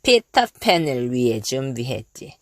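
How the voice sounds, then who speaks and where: A woman's voice: rumbling low, very animated, no room sound, studio quality, pitched below the performer's own voice, exaggerated voice. A woman voicing a menacing male pirate captain, a scheming storybook villain who draws out his words theatrically. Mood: gloating, menacing. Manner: drawn-out, gloating, theatrical.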